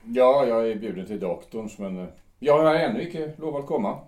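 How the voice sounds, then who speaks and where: Guy doing a cautious old friend in an audio drama, mid-pitched, echoey room. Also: expressive, darkish tone, natural voice. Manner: hesitant, polite. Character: hesitant middle-aged male old acquaintance. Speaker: Kurt. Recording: roomy echo.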